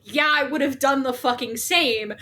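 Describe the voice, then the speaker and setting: High-voiced woman in emphatic podcast chat. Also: normal speed, pushed and bright. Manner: emphatic, swearing freely. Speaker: podcast co-host. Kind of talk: animated casual discussion.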